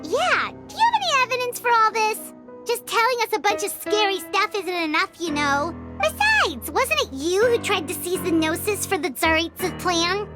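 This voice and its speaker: Tiny squeaky childlike fairy sidekick. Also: female voice, moderate pace, over music. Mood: suspicious, questioning. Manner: blunt, questioning, excitable. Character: small childlike fairy companion, cheeky, suspicious, indignant. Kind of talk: English game voice acting.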